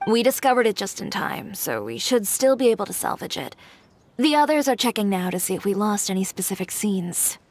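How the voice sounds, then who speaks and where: Lively, upbeat young woman. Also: medium pitch, brisk, slight background noise. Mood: relieved. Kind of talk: animated video game voice acting.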